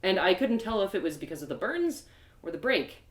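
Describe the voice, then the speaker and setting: A woman giving a theatrical, expressive dramatic reading of a story as its narrator. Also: medium pitch, brisk. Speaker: author performing her audio drama. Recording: roomy, echoey sound.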